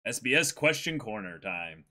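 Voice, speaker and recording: A man's voice: fairly low pitch, very animated, normal speed, pushed and bright, slight background noise. A young adult man co-hosting, chatting casually and reading aloud in an informal way. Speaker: co-host.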